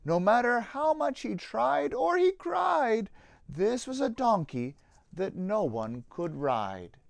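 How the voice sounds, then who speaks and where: A man reads a rhyming children's storybook in a sing-song, dramatic way. His pace is slow and his pitch moves freely up and down, in a close, dry recording. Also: big pitch swings, gravelly, no room sound. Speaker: pastor reading a story to children. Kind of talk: expressive rhyming storybook reading.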